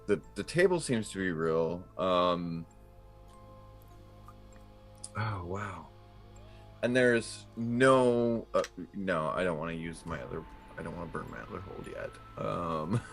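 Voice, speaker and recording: A man's voice: deep voice, lively intonation, slow pace, a bit bright, faint music behind. A man playing a tabletop role-playing game, chatting casually and thinking aloud as he describes his moves. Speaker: player in a tabletop RPG. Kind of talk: casual improvised game chat.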